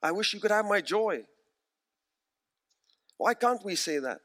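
Man, forties, preacher, earnest, emphatic, repeats key phrases, slightly high voice. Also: expressive, unhurried, a bit bright. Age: 40s. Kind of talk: sermon.

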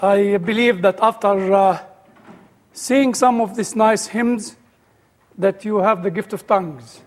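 A man's voice: high voice, slow pace, in a large hall. A man with an Egyptian-British accent, an older guest speaker giving his personal testimony with dry humor.

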